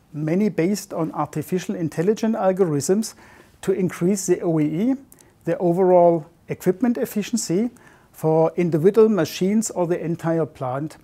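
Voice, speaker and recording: A man in his fifties answering interview questions in a measured, slightly formal way, recorded on a lapel mic in an office. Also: sits high, mellow. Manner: measured, slightly formal, non-native phrasing. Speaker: software development manager. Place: modern office.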